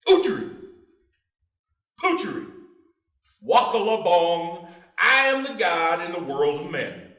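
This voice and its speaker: A man's voice: high-pitched, very animated, slow pace, long pauses, bright, forceful tone, heavily acted. A man playing a menacing boogeyman judge who chants theatrical nonsense rhymes on stage. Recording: echoey room, far from microphone.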